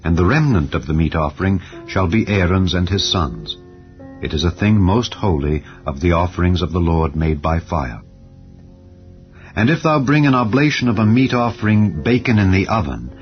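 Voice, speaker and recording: A man reading scripture aloud in a slow, measured, solemn way, as narrator of a dramatized recording. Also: deep voice, highs cut off. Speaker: Bible narrator. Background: soft ambient music.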